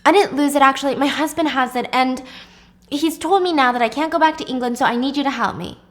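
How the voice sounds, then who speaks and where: A woman's voice: sits high, fast talker, fairly bright tone. A woman voicing a desperate, frantic wife who pleads urgently and insistently for help. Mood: anxious.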